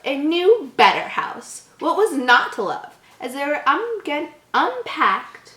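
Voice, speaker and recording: A woman's voice: high voice, big pitch swings, slow, a bit bright. A woman hosting a channel, reading a horror story aloud with giggly, stumbling asides. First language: English. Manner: giggly, stumbles over words. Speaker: channel host. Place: echoey indoor room. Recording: echoey room.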